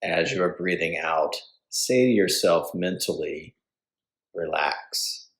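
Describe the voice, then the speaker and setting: A man leading a guided yoga nidra meditation as instructor, speaking calmly and deliberately with pauses between phrases. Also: deep voice, poor audio.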